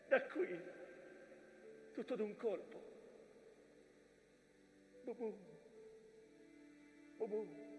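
High-voiced, nostalgic, wounded mountain. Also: male voice, roomy sound, in a large hall, slight background noise. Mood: grim. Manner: lyrical, emphatic repetitions. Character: male mountain Hermada, nostalgic, wounded old war witness. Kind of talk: theatrical monologue.

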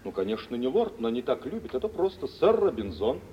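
An actor playing a lordly, self-assured gentleman rake, suave and mocking, in theatrical old Russian stage style. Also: medium pitch, big pitch swings, a little fast, lightly acted. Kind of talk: 1940s Russian stage acting for radio. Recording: distant, muffled, hissy old radio play.

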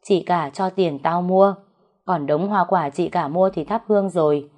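A young gentleman of a rural household voiced by a woman narrator in an audio romance. He speaks bluntly and teasingly, in a slightly low register. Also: unhurried. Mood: blunt, firm. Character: young man, medical student, blunt yet teasing lover.

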